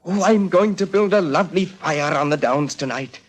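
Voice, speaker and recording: A man's voice: a little high, normal speed, lightly acted. An actor playing a childlike, simple-minded village man who loves birds, in sing-song wonder, in 1940s radio style. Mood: dreamy delight. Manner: sing-song wonder, talks of himself by name. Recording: old noisy 1940s radio recording, clicks.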